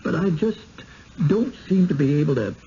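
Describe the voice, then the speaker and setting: Creaky, folksy rural American rustic. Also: male voice, on the high side, animated, moderate pace, dark tone. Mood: wistful. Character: wary, folksy rustic village man seeking escape. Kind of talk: 1970s radio drama acting. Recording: dull, hissy old radio broadcast.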